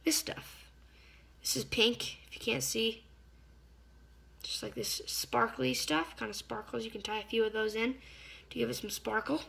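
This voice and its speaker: A slightly hoarse woman giving a casual hands-on fly-tying tutorial, chatty and often stopping to search for a word. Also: a little high, fairly even intonation, moderate pace, pauses often, sharp tone. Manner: chatty, stops to search for words.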